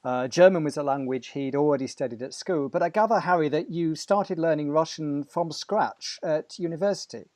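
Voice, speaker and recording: Male interviewer, conversational, fairly high voice, dry close recording. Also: moderate pace, few pauses, a little mellow, no room sound. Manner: chatty, repeats words when starting questions.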